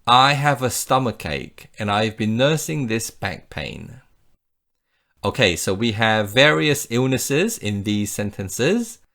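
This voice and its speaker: A man's voice: medium pitch, fairly bright tone. A man teaching an English lesson, explaining phrases patiently and modelling example sentences for the listener to repeat. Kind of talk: slow scripted English lesson.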